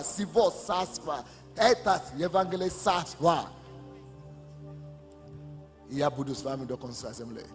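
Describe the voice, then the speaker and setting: Male pastor, high pitched, fervent sermon delivery, reverberant hall with light music bed. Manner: impassioned preaching that swells to emphatic declarations.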